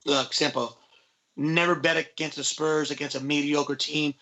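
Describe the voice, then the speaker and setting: A man with a high, bright voice co-hosts a sports podcast. He talks informally and emphatically, leaning on slang and repeated phrases. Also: little pitch movement, normal speed, low-quality recording. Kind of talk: casual, slangy sports chat.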